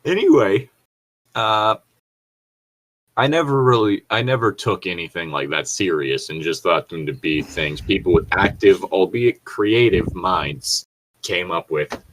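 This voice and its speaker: A man with a fairly low voice co-hosts a casual podcast. He reads internet horror stories aloud and chats around them, drifting off track easily and cursing freely. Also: expressive, unhurried, a little hiss or hum, poor audio. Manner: easily sidetracked, freely profane. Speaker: podcast co-host.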